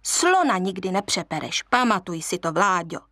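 A woman's voice: on the low side, big pitch swings, sharp tone, no echo, studio quality. Woman voicing a proud mother lioness, fond, storybook. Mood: fond, doting. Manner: fond, warning.

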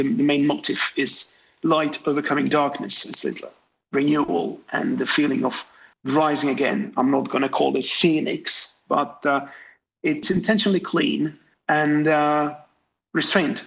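Gravelly man, halting speech. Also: fairly high pitch, fairly bright tone, dull audio, a little hiss or hum. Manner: hesitant, many fillers and restarts. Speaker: graphic designer, interview guest. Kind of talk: relaxed interview answers.

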